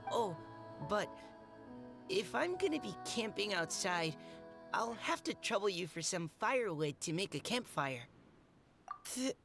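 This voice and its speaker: Game companion: polite, gentle young woman, lively intonation, courteous, faint music behind. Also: medium pitch, measured pace, vocal fry, pauses often. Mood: polite, grateful. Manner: courteous, slightly hesitant. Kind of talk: video game voice acting.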